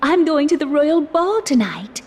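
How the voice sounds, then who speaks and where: A sweet young fairytale heroine speaks in a high, dreamy, polite voice. It rings with long reverb, like animated game dialogue. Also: female voice, a little slow. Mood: delighted, dreamy.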